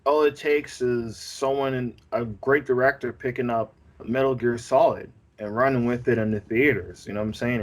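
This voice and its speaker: Slangy male podcast co-host, medium pitch. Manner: slangy, colloquial, peppers talk with "man" and "yo". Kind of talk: casual chat, opinionated commentary.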